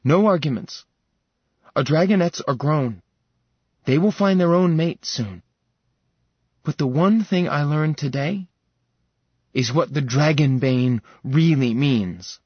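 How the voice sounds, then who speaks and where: A male narrator voicing a spirited young woman, lifting his voice high and speaking eagerly and insistently. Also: sing-song, takes it slowly, pauses often, husky, dry recording, lo-fi sound, exaggerated voice.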